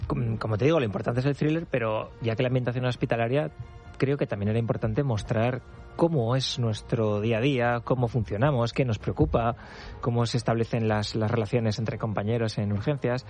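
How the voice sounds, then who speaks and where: A man's voice: mid-range voice, fairly even intonation, fairly quick, a little mellow, soft background music. A man from La Rioja with a northern Spanish accent, talking as an interviewed novelist and explaining things at length in a conversational way. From La Rioja, Spain. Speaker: interviewed novelist who works in a hospital.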